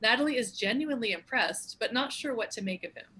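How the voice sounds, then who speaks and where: A woman's voice: a little high, expressive, fast talker, sharp tone, breathy edge. A woman narrator reading stage directions in a scripted narration style.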